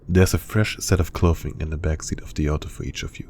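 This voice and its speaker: A man playing a businesslike secret agent disguised as a chauffeur in an audio roleplay, brisk and matter-of-fact. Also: very deep voice, fairly even intonation, a little mellow. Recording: clear ambient noise behind voice.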